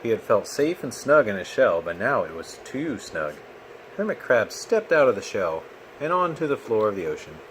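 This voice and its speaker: A man with a fairly low voice reads a children's picture book aloud. His delivery is expressive and patient, as if for a small child. Faint steady noise sits behind him. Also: moderate pace, rough recording. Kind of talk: storybook read-aloud to a child.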